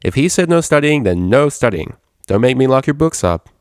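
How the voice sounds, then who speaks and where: A man's voice: medium pitch, very animated, a little fast, clear, resonant tone, no room sound, studio quality, pitched above the performer's own voice. A man voicing a cocky, teasing young female unicorn DJ with a brash, slangy, playful manner in a story reading. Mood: mock stern.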